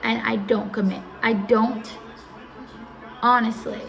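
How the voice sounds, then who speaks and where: A young woman in her early twenties talks through advice in a loose, rambling way. Her voice sits a little high, with a heavy gravelly rasp. She drifts off track and circles back to the same point. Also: steady tone, takes it slowly, fairly bright tone, faint music behind. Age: early 20s. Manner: rambling, easily distracted, repeats herself. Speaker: audio live streamer. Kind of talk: casual advice talk.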